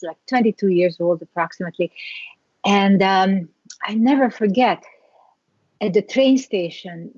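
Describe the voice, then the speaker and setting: A middle-aged woman with a Hungarian accent, a guest recounting her past in an interview, reflective and halting with many restarts. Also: medium pitch, darkish tone, no room sound, muffled recording. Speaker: guest, skincare founder. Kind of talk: interview storytelling.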